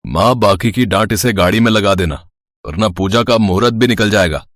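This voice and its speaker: A man's voice: fairly low pitch, normal speed, a bit bright, dry recording, clean studio sound, natural voice. A man playing a playful, sweet-talking young brother-in-law in a family storybook drama. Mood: cheeky. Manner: playful, coaxing.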